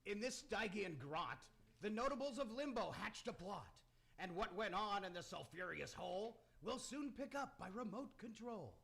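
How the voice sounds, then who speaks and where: A man's voice: sits high, lively intonation, moderate pace, vocal fry, a bit bright, husky. A man hosting and narrating a live radio theater broadcast from a studio room. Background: background noise.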